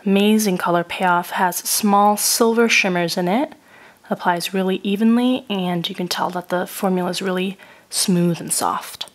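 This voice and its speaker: A woman narrates a makeup review while still getting over a cold. She works through each shade in a steady, methodical way. Her tone is descriptive and even. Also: mid-range voice, moderate pace. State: recovering from a cold. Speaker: beauty reviewer.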